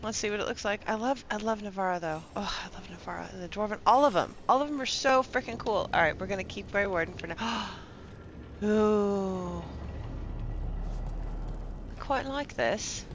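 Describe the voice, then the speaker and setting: A woman's voice: medium pitch, moderate pace, noisy background. A woman doing casual live game commentary, chattily thinking aloud and reacting as she plays. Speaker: video game streamer.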